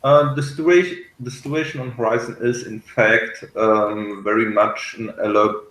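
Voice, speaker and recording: A man reviewing a TV episode in casual, analytical discussion, speaking haltingly as he searches for words. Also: mid-range voice, steady tone, a bit bright, echoey room, rough recording. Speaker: podcast reviewer.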